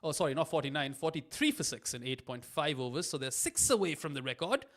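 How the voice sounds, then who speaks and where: A young adult man hosting a live cricket watchalong, calling play-by-play with a booming voice and chatty asides. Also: very animated, quick pace, fairly bright tone. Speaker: watchalong host.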